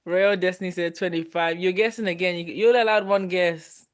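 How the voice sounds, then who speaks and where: Woman, twenties, low-pitched, playful, teasing, casual livestream chat. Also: fairly even intonation, a little fast. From Ghana. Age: 20s. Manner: playful, teasing, laughs often. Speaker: livestream host. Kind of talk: casual live chat reading viewer comments.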